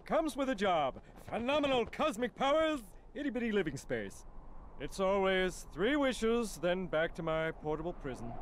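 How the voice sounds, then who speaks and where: High-voiced, big-hearted genie. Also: male voice, very animated, normal speed, slight background noise. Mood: wry. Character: big-hearted, wisecracking male genie, weary of servitude. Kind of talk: video game cutscene acting.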